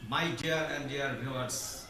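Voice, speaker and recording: A man's voice: mid-range voice, fairly even intonation, a little slow. Bangladeshi man giving a formal speech. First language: Bengali. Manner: formal, declamatory, with emphatic rising stresses. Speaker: English teacher. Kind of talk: prepared speech. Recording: echoey room, voice from far off.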